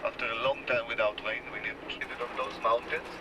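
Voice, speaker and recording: An Israeli-accented man greets a tour group in a joking, chatty way and explains things slowly. He is picked up from a distance on an old home video. Loud road noise runs behind him. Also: mid-range voice, fairly even intonation. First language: Hebrew. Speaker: tour guide. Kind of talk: tour bus welcome talk.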